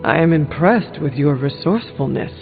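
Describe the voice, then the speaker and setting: Earnest game hero, muffled narrow sound. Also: male voice, on the high side, animated, gravelly. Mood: earnest, probing. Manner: polite, measured, formal phrasing. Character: earnest, measured male hero, curious newcomer to the team. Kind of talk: video game dialogue acting. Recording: muffled narrow-band game audio, music behind.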